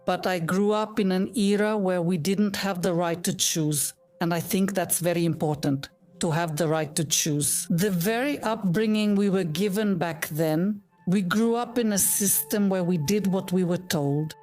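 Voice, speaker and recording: A woman's voice: fairly low pitch, normal speed, few pauses, darkish tone, soft background music. A woman in her fifties from Bulgaria, a guest telling her life story in an earnest, heartfelt, reflective way. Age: 50s. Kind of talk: personal storytelling in an interview.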